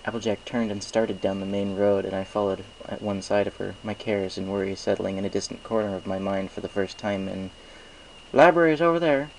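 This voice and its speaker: A man's voice: deep voice, completely flat delivery, a little fast, few pauses, a little mellow, a little hiss or hum, low-quality recording. A man reading a story aloud in scripted narration, as an amateur fiction reader.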